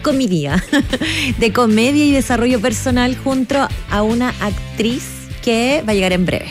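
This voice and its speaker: A woman's voice: fairly high pitch, a little slow. A woman co-hosting a radio show, introducing the next segment in a light, self-deprecating way. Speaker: co-host. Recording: music underneath.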